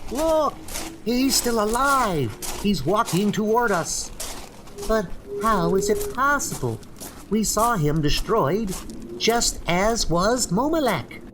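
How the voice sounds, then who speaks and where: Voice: mid-range voice, expressive, slow, background noise. An actor playing a solemn, distressed inhabitant of a doomed city in a dramatized comic book audio drama. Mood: alarmed. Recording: loud music under the voice.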